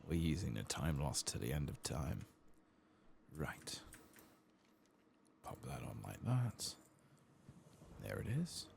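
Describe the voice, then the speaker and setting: A man giving soft-spoken ASMR commentary while gaming, murmuring and drifting into whispers. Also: a bass voice, sing-song, slow, gravelly, pauses often, a little hiss or hum. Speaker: gaming streamer, ASMR host.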